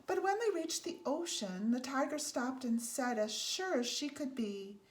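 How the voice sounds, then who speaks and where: A woman reading a children's picture book aloud as a storyteller, in a measured, deliberate way with emphasized phrases. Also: high-pitched, expressive. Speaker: storybook reader. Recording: faint background noise.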